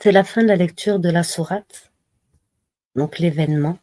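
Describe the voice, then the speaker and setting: A woman giving a slow, measured devotional reading and reminder, pausing often between phrases. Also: on the low side, dark tone, dry recording. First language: not Arabic. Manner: slow, measured recitation with pauses. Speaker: religious reminder speaker.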